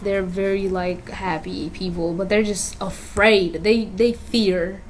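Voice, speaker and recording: A woman's voice: medium pitch, steady tone, takes it slowly, slight background noise, low-quality recording. Woman from Kenya telling her story. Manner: candid, with frequent "you know" and "like" fillers. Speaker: interviewee. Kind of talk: personal interview narrative. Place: community youth center. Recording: music bed under interview. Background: music playing underneath.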